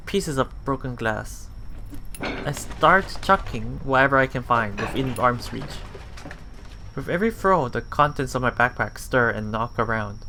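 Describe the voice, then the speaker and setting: A man reading a visual novel aloud as a let's play host, halting and self-correcting, with muttered casual asides. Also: mid-range voice, sharp tone, slight background noise.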